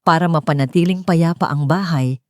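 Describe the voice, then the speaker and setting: Woman, dramatic storyteller, low-leaning voice, soft mellow tone, scripted narration, dry close sound. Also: moderate pace, dry recording.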